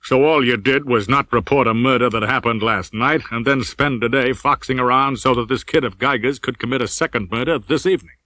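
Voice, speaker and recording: Male reader as police captain, hard, cold, scornful, curt, deadly polite, forceful tone, audiobook crime story. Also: mid-range voice, brisk, hardly any pauses, dry recording, natural voice, low-quality recording.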